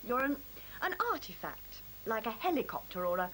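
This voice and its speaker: A woman's voice: a little high, sing-song, moderate pace, a bit bright, poor audio. A woman playing a sceptical actress in a radio play, with a theatrical way of speaking. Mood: incredulous, challenging. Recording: faint tape hiss.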